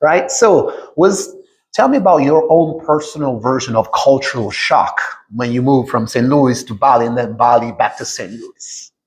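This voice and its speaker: A man's voice: mid-range voice, moderate pace, slight background noise, rough recording. A man hosting a reflective conversational interview, affirming his guest and often checking in as he makes his points. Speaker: podcast host, interviewer.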